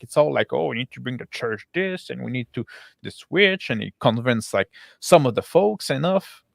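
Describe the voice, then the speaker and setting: A stocky village blacksmith acted by a man in a tabletop roleplaying game. He is blunt and defensive, rambles in halting bursts and swears freely. The pitch is average and the sound is dry. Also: gravelly, no echo.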